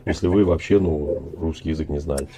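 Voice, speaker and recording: Deep-voiced man on a webcam chat. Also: steady tone, dark tone. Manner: feigned naive, drawn-out questioning. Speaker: video chat participant. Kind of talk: casual video chat. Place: indoor room.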